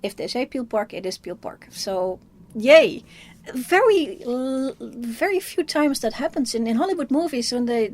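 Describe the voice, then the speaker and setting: A woman with a Dutch accent giving a casual film review, speaking haltingly as she thinks aloud. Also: fairly high pitch, animated, a little mellow. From Netherlands.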